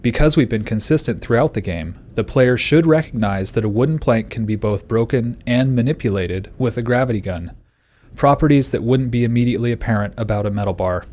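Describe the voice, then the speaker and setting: Man with medium pitch, scripted design commentary. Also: a little fast, a little mellow. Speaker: game developer. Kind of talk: scripted developer commentary narration.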